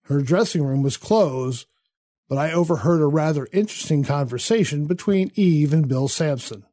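An actor playing a suave, cynical theatre critic who narrates a radio drama in an arch, precise, pompous way. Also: male voice, fairly high pitch, normal speed, mellow, no room sound, natural voice. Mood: arch, suspicious.

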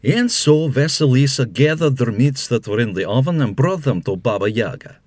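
A man tells a scripted fairy tale as narrator. His mid-pitched voice sits close and dry. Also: animated, normal speed, a little mellow, no echo.

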